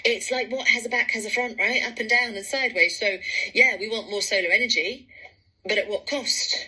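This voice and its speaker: A woman's voice: medium pitch, sharp tone, breathy edge, low-quality recording. A woman presenting in an informal, conversational way, musing over comments. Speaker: presenter reading viewer comments.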